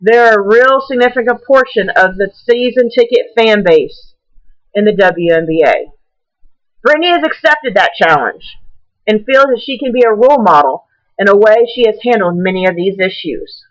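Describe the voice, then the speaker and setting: A woman reading a prepared essay aloud in a deliberate, measured way, now and then stumbling over a word. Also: mid-range voice, expressive, lo-fi sound.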